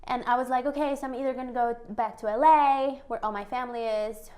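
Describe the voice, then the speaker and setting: A woman from Mexico, a guest in a casual interview, telling her story with animated energy, laughing often and acting out what people said to her. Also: on the high side, quick pace. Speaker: guest, entrepreneur.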